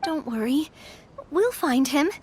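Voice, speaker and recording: High, lilting, bubbly young adventurer. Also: female voice, lively intonation, takes it slowly, a little mellow. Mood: earnest, reassuring. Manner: chirpy, friendly, earnest. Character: bubbly, sweet, optimistic young female adventurer.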